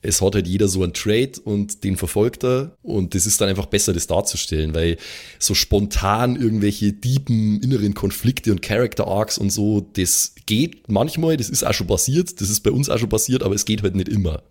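A man's voice: lowish voice, moderate pace, a little mellow. A man chatting casually as a co-host, dropping in English slang and repeating phrases for emphasis. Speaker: podcast co-host. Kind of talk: casual chat.

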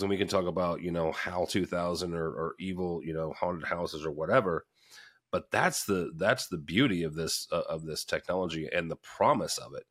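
A man's voice: sits low, a bit bright, no room sound, clean studio sound. A man with a Midwestern American accent hosting a casual panel talk, rambling with many restarts and fillers. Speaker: fill-in podcast host.

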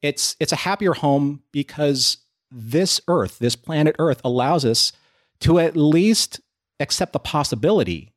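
A man speaking as a podcast panelist in a reflective discussion, earnest and philosophical, with measured phrasing. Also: a little high, lively intonation.

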